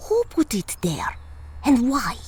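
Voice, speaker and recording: A woman as an expressive teenage girl. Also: on the high side, slow, slightly rough, exaggerated voice. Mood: curious. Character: earnest, curious teenage Russian mutant girl. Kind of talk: audio drama acting.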